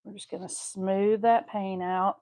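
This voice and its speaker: A woman's voice: medium pitch, little pitch movement, measured pace, warm tone. A woman with a Southeast Texas accent hosting a live craft stream, chatting homely with viewers as she paints. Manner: chatty, homey, talks to viewers while painting. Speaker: craft channel host.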